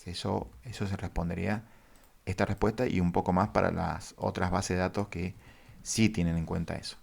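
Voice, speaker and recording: A man teaching a database lesson over a screen share, speaking haltingly with many fillers. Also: sits low, steady tone, creaky, a little mellow. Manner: halting, many fillers, addresses viewers formally. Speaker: database instructor.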